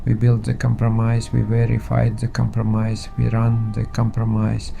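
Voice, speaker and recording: A man playing a terse, steady engineer in an audio drama, talking in a clipped, procedural way. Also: on the low side, normal speed, soft background music. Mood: controlled, resolute. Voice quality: dark, gravelly, creaky.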